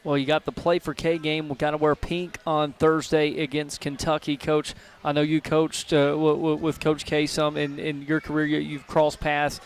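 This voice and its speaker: A man hosts a live sports radio broadcast, asking long, friendly, rambling questions. His voice sits on the high side for a man. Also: completely flat delivery, normal speed, few pauses, a little hiss or hum. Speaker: radio show host. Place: restaurant broadcast.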